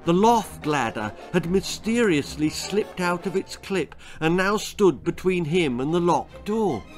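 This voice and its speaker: A man's voice: high voice, expressive, measured pace, soft background music. A man reading a spooky, darkly comic children's story as its author, with dramatic, suspenseful delivery. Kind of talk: scripted children's horror-comedy storytelling.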